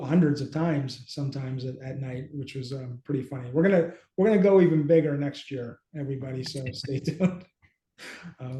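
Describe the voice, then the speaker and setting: A man in his thirties chatting informally as a co-host, thinking aloud in a halting way on a video call. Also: a little high, dark tone. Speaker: co-host, app company team member. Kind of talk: casual, informal demonstration chat. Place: small home office indoors. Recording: echoey room on a video call.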